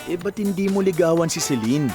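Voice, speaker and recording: Teasing young Filipino man, fairly high voice acted. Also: animated, a little fast, music in the background, close to an everyday voice. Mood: encouraging. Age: young adult. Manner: teasing, encouraging. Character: prodding young male drinking buddy. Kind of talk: radio drama acting.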